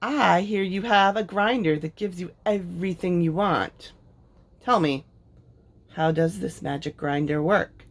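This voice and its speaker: A woman voicing a greedy, bullying male lord in a storybook, loud and demanding. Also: lowish voice, unhurried. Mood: sly. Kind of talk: storybook read-aloud character voice.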